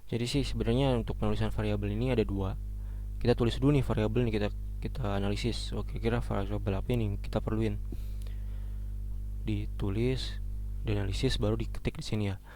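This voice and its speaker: Slightly hoarse man teaching coding, halting. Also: lowish voice, fairly even intonation, warm tone, a little hiss or hum. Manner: casual, halting, many fillers and restarts. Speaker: programming lecturer. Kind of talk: screen-recorded coding lesson.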